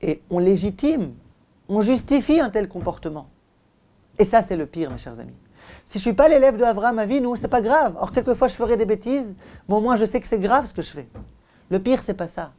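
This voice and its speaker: Mellow-voiced man teaching a religious lesson. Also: high-pitched, sing-song, brisk. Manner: emphatic, didactic, repeats phrases for effect; rhetorical questions and direct address to listeners. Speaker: rabbi giving a Torah lesson. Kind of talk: religious lecture, teaching aloud to listeners.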